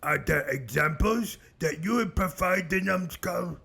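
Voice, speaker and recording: Gravelly, slow-talking cartoon brute. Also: male voice, high-pitched, lively intonation, pushed and bright, faint music behind, full character voice. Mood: stern. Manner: simple broken grammar, stern. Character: slow-witted menacing brute who speaks of himself in third person. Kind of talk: comedic cartoon voice acting.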